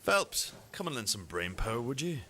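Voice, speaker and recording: A man acting as a methodical police detective in an amateur radio drama, with measured, formal, matter-of-fact delivery. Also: medium pitch, big pitch swings, bright, forceful tone. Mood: musing.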